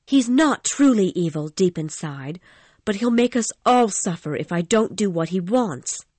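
A man reading a story and voicing a frightened young woman singer who pleads anxiously in a raised falsetto. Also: animated, a little slow, dry recording. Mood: pleading.

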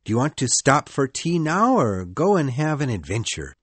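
A woman's voice: very deep voice, very animated, normal speed, breathy edge, dry recording, voice pushed down, exaggerated voice. A woman reading aloud, voicing a boastful, fearless young boy leader in a matter-of-fact, cocky way.

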